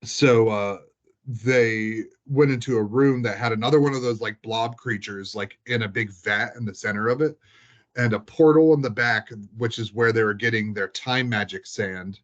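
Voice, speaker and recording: A man's voice: fairly low pitch, moderate pace. A man chatting casually as he recounts tabletop game sessions, rambling and peppering his talk with "like". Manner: rambling, frequent "like" as filler. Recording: dull, lo-fi sound.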